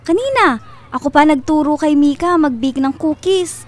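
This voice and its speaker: An actress playing a chirpy, ingratiating and subtly possessive family friend in a radio drama, with a breezy, over-sweet manner. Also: female voice, high-pitched, animated, normal speed. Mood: gushing, smug.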